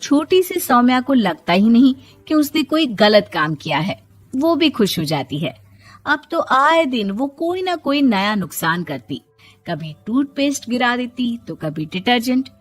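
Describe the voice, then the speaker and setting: A woman narrator reading a scripted moral story in a husky voice. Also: fairly high pitch, very animated, a little slow.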